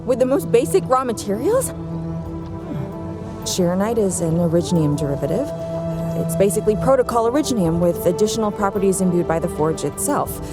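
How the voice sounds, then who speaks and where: An actress playing a composed, earnest woman researcher in a video game, measured and explanatory in delivery. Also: medium pitch, sing-song, loud music behind the voice, close to an everyday voice. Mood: intrigued, explaining. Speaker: game character.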